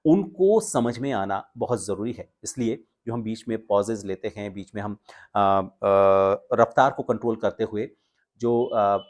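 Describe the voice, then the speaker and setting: A man giving an instructional talk to viewers as a voice coach, explaining with pauses and stress on key words. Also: on the low side, darkish tone. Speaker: voice coach and video presenter.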